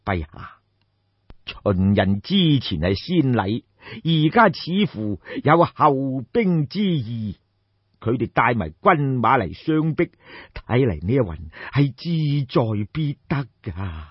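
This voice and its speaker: Elderly royal counsellor for audio storytelling, acted by a man: shrewd, deferential, deliberate, roughened voice, slightly muffled recording. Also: mid-range voice, very animated, no room sound, full character voice. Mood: grave. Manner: measured, formal, deliberate counsel. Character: grave old male royal minister, deferential, shrewd.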